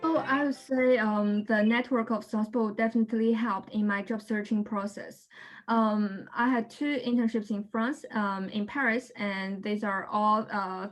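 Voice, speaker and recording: A woman's voice: medium pitch, low-quality recording. A woman in her twenties from China answers interview questions plainly and directly. Her speech is full of ums and small restarts. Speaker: guest.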